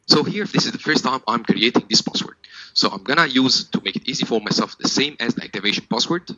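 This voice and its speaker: A man with a mid-pitched, crisp-edged bright voice walks through a product setup step by step. He sounds methodical and instructional, like a trainer at work. The sound is dry and close to echo-free, though slightly muffled and lo-fi. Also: expressive, fast talker. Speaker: technical trainer.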